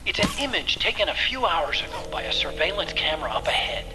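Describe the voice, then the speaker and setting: Game role: anxious, sincere male tech sidekick, lightish pitch, very expressive, a touch hesitant, music bed. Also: fast talker. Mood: grave. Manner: earnest, expressive, slightly hesitant. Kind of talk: dramatic video game cutscene acting.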